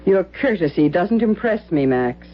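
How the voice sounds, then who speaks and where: A woman's voice: fairly low pitch, lively intonation, measured pace, slight background noise. A woman acting a cold, determined widow bent on revenge in an old-time radio drama. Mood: cool, defiant. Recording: dull old radio recording with music.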